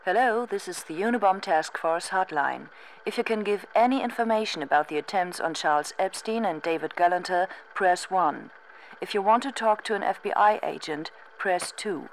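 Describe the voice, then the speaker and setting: Official hotline announcer, low voice, formal scripted phone menu, clear noise and clicks. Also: female voice, animated, normal speed. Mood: neutral, official. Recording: noisy, clicking recorded hotline message.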